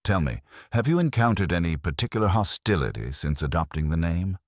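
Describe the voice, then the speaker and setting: A man voicing a gentle, shrewd police inspector who questions politely in a mystery story. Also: deep voice, very animated, brisk, no room sound, clean studio sound, voice pushed up. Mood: gently probing. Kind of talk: scripted mystery story dialogue.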